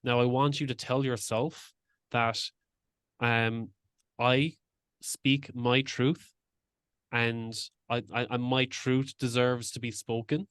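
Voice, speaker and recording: A man's voice: mid-range voice, level tone, slow pace, a bit bright, clear, resonant tone, dry recording, clean studio sound. A man hosting a podcast, speaking openly and personally as he shares his own experiences at length. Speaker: podcast host. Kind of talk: casual interview talk.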